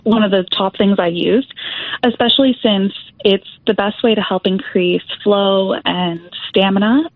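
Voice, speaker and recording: A woman's voice: mid-range voice, a little slow, dry recording. Young woman music therapist chatting, lots of ums, tinny phone-ish sound. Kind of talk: interview guest, casual explanation.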